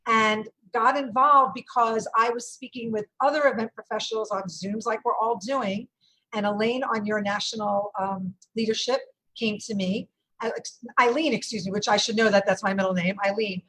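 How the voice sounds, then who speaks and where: A woman's voice: a little high, steady tone, normal speed, bright, forceful tone, rough recording. A woman from Brooklyn with a New York accent, an event company owner, speaking emphatically on a panel call. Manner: big, emphatic voice. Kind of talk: panel discussion on a video call. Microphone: video call.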